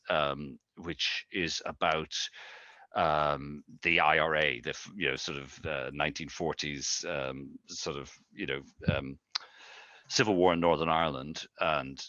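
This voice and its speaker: Very deep-voiced, hesitant man. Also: sharp tone, no room sound. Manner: hesitant, with many fillers and restarts. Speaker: interviewee. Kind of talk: casual conversation about films. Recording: slightly muffled, lo-fi.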